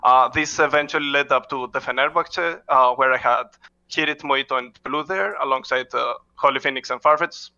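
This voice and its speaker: Gravelly, bright-voiced man, halting speech. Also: medium pitch, muffled recording. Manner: halting, frequent "uh" fillers and restarts, non-native phrasing. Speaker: head coach, interview guest. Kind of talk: casual interview answers.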